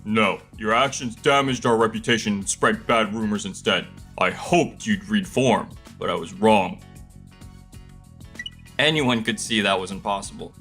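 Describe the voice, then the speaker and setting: A man playing a stern, principled company president in an animated manga dub, admonishing, with music behind him. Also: fairly low pitch, measured pace. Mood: disappointed. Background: background music.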